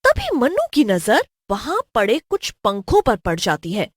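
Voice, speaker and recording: Woman narrating a spooky cartoon tale, kinda high voice, very animated, dry sound. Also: a little slow, dry recording. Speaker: story narrator. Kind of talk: scripted horror story narration for cartoon.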